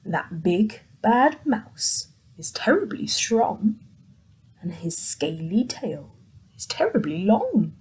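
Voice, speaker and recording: A woman voicing a big, gruff Gruffalo father who speaks in a slow, hushed, ominous way. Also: medium pitch, big pitch swings, full character voice, rough recording.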